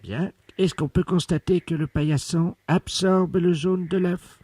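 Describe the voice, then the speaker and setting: A man playing a pompous scientist in a deadpan prank call, speaking solemnly and pedantically with deliberate articulation. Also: sits high, slightly rough, dry recording. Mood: solemn, instructive. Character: pompous male scientist investigating a virus outbreak for radio. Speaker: prank caller. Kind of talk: deadpan prank phone call with hushed radio commentary.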